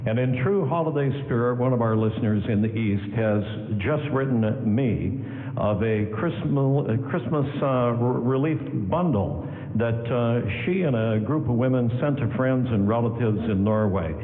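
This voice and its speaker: A man's voice: medium pitch, moderate pace. A man announcing and narrating in formal, theatrical 1940s radio style. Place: live on stage in a hall. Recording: phone-like, echoey hall broadcast, faint music.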